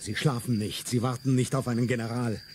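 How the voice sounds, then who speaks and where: An actor voicing a fierce revolutionary who speaks in grand, solemn declamation. His voice sits in an ordinary middle range. Soft insect chirps hum behind him in this radio drama. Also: mid-range voice, fairly even intonation, brisk, natural voice. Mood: declamatory. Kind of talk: stage-like radio play acting.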